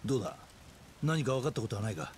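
An actor playing a serious, practical ally in a video game, speaking in a gruff, decisive way. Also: male voice, medium pitch, big pitch swings, very fast, a little mellow, natural voice. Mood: concerned, resolute. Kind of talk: dramatic video game acting. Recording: faint rain noise behind.

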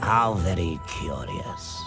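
An actor playing an eerie, courteous old British wand shopkeeper in a video game, speaking deliberately with theatrical pauses. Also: male voice, low-pitched, lively intonation, gravelly, full character voice. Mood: mysterious, ominous. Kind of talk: game cutscene acting. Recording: loud music under the voice.

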